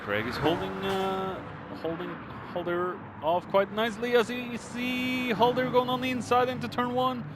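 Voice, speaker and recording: A man giving excitable, informal live race commentary with hesitations, his voice strained and starting to give out. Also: extremely high pitch, normal speed, few pauses, background noise. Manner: exclamatory, informal, peppered with hesitations. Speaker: esports racing commentator.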